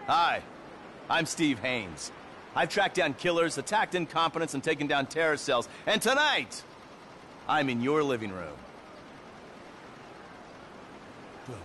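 A man's voice: on the high side, very animated, normal speed, bright, forceful tone, slight background noise. An actor playing a vain, cocky male federal agent who presents his own TV show in a pompous, melodramatic way. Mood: smug. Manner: pompous, self-satisfied, melodramatic. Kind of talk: hard-boiled TV presenting and off-camera bragging.

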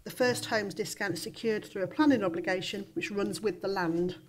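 A woman's voice: mid-range voice, a little fast. Woman with a cold, council officer, hesitant formal report delivery into a table mic. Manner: hesitant, many ums and restarts. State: has a cold, coughing. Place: large formal council chamber.

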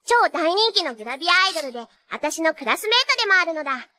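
A woman's voice: extremely high pitch, sing-song, fast talker, pushed and bright, heavily acted. A woman playing a perky, cheeky teenage idol magical nurse in an anime, with cutesy catlike tics. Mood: bubbly, excited. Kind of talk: anime character acting and excited narration.

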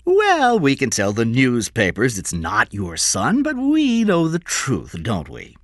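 A man voicing a small, sly con man in an audiobook story, oily and self-righteous, with drawn-out, insinuating politeness. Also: medium pitch, huge pitch swings, dry recording, studio quality, pitched above the performer's own voice, exaggerated voice. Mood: sly, taunting.

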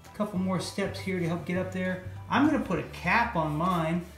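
A man's voice: high voice, normal speed, fairly bright tone. American man teaching drawing in an echoey room. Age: middle-aged. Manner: playful, folksy, informal. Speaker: children's drawing instructor. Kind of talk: casual step-by-step art demonstration. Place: indoor room, at a drawing board. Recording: roomy echo with music underneath.

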